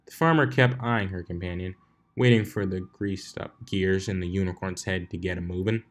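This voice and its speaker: A man with a fairly low voice reads fiction aloud. He works as the narrator, delivering scripted prose between the characters' lines. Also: normal speed. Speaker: fan fiction reader, narrator.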